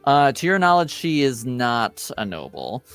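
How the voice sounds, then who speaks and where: A man's voice: mid-range voice, very animated, measured pace, fairly bright tone, smooth, soft background music. A man acting as game master, casually talking players through rulings and what their characters know.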